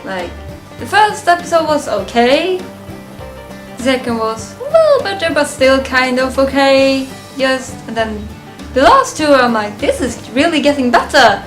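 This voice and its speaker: A woman giving a casual, unscripted fan review of a ranked list, halting and thinking aloud, often chuckling. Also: sits high, very animated, music in the background.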